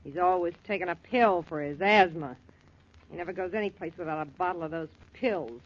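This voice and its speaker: A woman's voice: fairly low pitch, brisk, lightly acted. An American actress playing a tough, plain-spoken young filling station woman in 1940s radio style. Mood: wry. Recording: dull 1940s radio recording.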